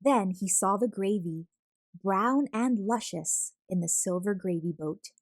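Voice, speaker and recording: A woman reading a children's picture book aloud as a storyteller, expressive and deliberate, drawing out words for emphasis. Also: medium pitch, dry recording. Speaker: storyteller, read-aloud host.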